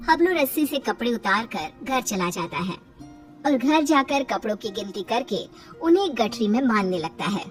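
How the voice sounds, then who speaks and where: A woman's voice: a little high, lively intonation, normal speed. A woman narrator reading a scripted children's spooky story. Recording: slightly distant voice under clear music. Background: background music.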